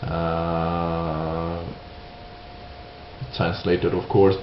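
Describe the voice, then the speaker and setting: A man from Sweden with a Swedish accent, giving an unscripted opinion monologue in halting English, hesitant and searching for words. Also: sits low, little pitch movement. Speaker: video creator giving opinions. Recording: roomy, dull lo-fi sound with hiss.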